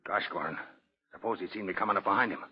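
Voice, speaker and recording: Rushed, raspy, anxious henchman. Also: sits low. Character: anxious male gangster henchman. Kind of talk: 1940s radio crime drama acting. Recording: dull old radio recording.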